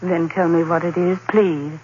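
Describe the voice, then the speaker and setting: An actress playing a devoted, concerned fiancée in an old-time radio drama, theatrical and solicitous. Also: female voice, lowish voice, flat delivery, measured pace, a little mellow, natural voice. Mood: tender concern. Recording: dull old radio recording with hiss.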